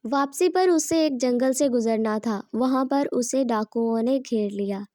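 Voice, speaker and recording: A woman narrates a children's story from a script. Her voice sits a little high, and the sound is dry, with no room echo. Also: a little slow, dark tone.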